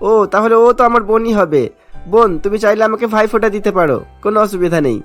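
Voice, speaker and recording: A man voicing a warm, jolly young brother in a cartoon, affectionate and hearty, with music behind. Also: sits high, fast talker, close to an everyday voice. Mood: affectionate, cheerful. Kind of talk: cartoon story acting.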